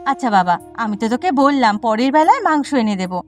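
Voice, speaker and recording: Woman acting weary rural mom, cartoon, raised pitch, exasperated, nagging, music behind. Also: sing-song, fast talker. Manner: scolding, pleading. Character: exasperated, weary poor village widow mother.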